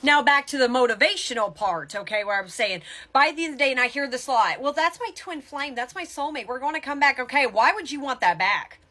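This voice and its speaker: A woman's voice: high voice, expressive, a little fast, hardly any pauses, pushed and bright. A woman giving motivational spiritual advice as a tarot reader, in a blunt, emphatic tough-love manner with casual swearing.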